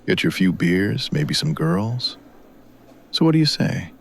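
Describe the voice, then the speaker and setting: A man playing an awed, middle-aged wrestling fan in an audio drama, speaking softly and coaxingly with hesitant reverence. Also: low-pitched, very animated, measured pace, heavily acted. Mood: eager coaxing. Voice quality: soft, husky. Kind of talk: dramatic audio fiction acting.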